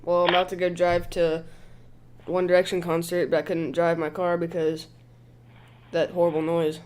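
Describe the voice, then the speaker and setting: A man's voice: fairly high pitch, completely flat delivery, normal speed, a little mellow, clear, resonant tone. A man making a prank phone call, chatting with an earnest, clueless manner. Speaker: prank caller.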